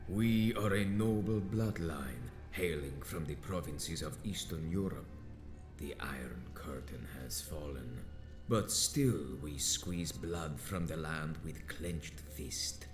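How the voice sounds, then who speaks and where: A very deep, ancient vampire elder. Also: male voice. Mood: proud, grave. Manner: slow, grave, formal and imperious. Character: ancient, stern male vampire sect elder, menacing and proud. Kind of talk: theatrical video game dialogue. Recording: roomy echo with game music behind.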